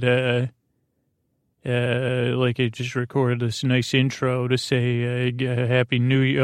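Male bedtime podcast host: gravelly, flat intonation, soothing, halting and meandering delivery. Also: mid-range voice, no room sound, clean studio sound. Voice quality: creaky, gravelly. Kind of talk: rambling bedtime-story podcast narration.

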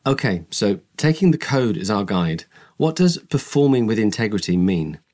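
A man's voice: fairly low pitch, big pitch swings, normal speed, a little mellow. A man hosting a corporate podcast, reading his introductions and questions in a polished, scripted way. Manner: polished, read-from-script delivery. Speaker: podcast host.

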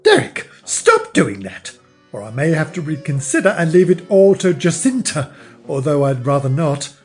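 A man's voice: on the high side, wildly swooping pitch, moderate pace, soft background music. A man voicing an overbearing, blunt older mother who scolds and nags her grown son in a storybook reading. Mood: scornful. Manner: brash, nagging, exclamatory.

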